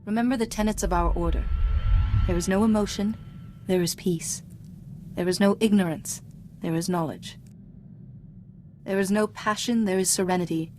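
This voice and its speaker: Calm female Jedi mentor for a game, played by a woman: measured, formal, principled, mid pitch, faint ambience behind. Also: a little hiss or hum, close to an everyday voice. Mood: serene, instructive. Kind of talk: scripted video game voice acting.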